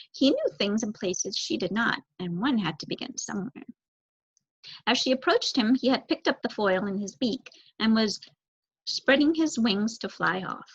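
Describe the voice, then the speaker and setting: Animated young woman reading a story. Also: on the high side, breathy edge, muffled recording. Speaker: story reader. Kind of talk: expressive children's book read-aloud.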